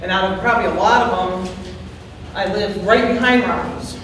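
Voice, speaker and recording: A woman with a loud voice giving earnest, worried public comment at a town board hearing, repeating her points. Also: medium pitch, moderate pace. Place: large town meeting hall, far from the mic. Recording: echoey hall, distant mic, faint hiss.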